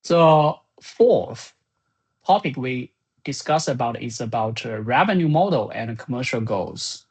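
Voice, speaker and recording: Slow, husky, halting speaker. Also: mid-range voice, lively intonation, low-quality recording. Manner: halting, thinking aloud. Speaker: software creator presenting business plan. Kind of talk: informal spoken summary of meeting notes.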